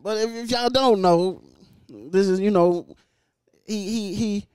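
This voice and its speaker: A man's voice: high-pitched, takes it slowly, darkish tone, smooth, no echo, studio quality. A man hosting a casual podcast interview in a barbershop, talking in a laid-back, teasing, slangy way. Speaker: podcast host.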